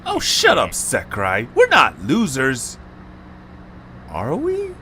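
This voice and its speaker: A man's voice: mid-range voice, wildly swooping pitch, slow pace, pushed and bright, slight background noise, full character voice. A man voicing a young male cartoon creature who is whiny, put-upon and prone to exasperated complaint. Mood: annoyed, unsure.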